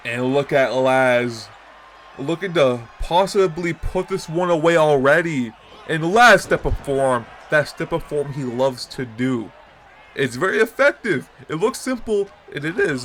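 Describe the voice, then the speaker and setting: A man with a stuffy nose and sore throat calling a wrestling match as host, excitable and jumping to shouts on big moves. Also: medium pitch, a little hiss or hum. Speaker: wrestling commentator and show host. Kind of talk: play-by-play commentary.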